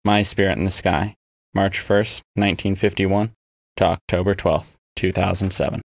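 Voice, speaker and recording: A man's voice: sits low, steady tone, moderate pace, vocal fry. A man, a game programmer, giving a scripted personal message.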